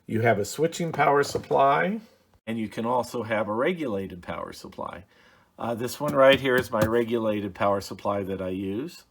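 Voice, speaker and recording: A man's voice: mid-range voice, normal speed, vocal fry, a little hiss or hum. A middle-aged man casually explaining a hobby project in a home office, hesitant and plainspoken with frequent ums. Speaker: radio hobby video host. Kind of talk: casual how-to demonstration.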